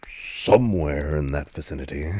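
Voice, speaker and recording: Male actor as easygoing vampire boyfriend, low-pitched, very breathy and husky, wry, protective, casual intimate audio roleplay. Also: darkish tone, no room sound, heavily acted. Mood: wry, relaxed. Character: laid-back, teasing, protective male vampire on a date.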